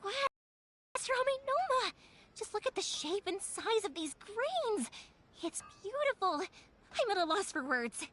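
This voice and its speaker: A woman voicing a cheerful, chatty, excitable young fireworks maker in an anime-style video game. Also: very high voice, unhurried, slight background noise, heavily acted. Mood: cheerful, impressed. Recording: music behind the voice.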